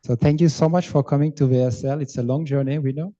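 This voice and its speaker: A man hosting a symposium, giving a formal, measured introduction of a speaker with some hesitation. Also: mid-range voice, steady tone, mellow, no echo, dull audio.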